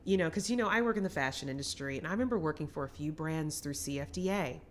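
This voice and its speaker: A woman's voice: low-pitched, quick pace. A woman co-hosting a film podcast, giving opinionated, emphatic takes in casual conversation.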